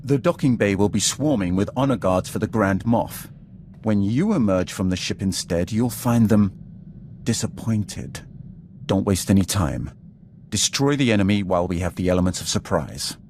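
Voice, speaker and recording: An actor voicing a menacing male warrior in a video game, cold, deliberate and commanding with dry delivery. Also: fairly low pitch, animated, darkish tone, a little hiss or hum. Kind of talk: dramatic video game dialogue.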